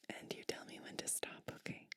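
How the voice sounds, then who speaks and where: A woman playing a kindly sleep witch in an ASMR roleplay, speaking in a soft, breathy, soothing whisper. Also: close to an everyday voice. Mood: gentle, soothing. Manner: slow, soothing, gently attentive. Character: kindly sleep witch giving bedtime pampering. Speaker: ASMR host.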